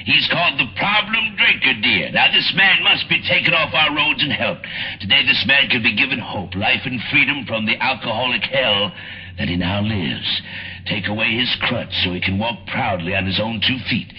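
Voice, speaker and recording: A man's voice: mid-range voice, lively intonation, normal speed, slight background noise. A man with an American accent delivering a scripted public service announcement on radio. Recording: muffled old radio aircheck, faint music. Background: faint music.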